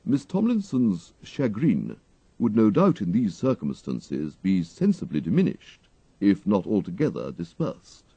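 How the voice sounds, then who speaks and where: A man voicing a deferential, unflappable English valet in a storybook, speaking in formal, ornate, measured phrases. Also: fairly low pitch, wildly swooping pitch, dark tone, husky, no room sound, highs cut off, exaggerated voice. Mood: suave. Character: dignified English gentleman's valet, deferential, unflappable, dryly clever.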